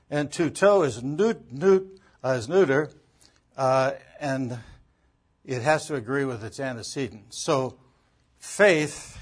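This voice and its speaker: A man's voice: mid-range voice, slightly rough. A man giving an emphatic Bible lecture, driving points home with repetition and rhetorical questions. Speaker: Bible teacher, preacher. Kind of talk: teaching lecture on biblical interpretation.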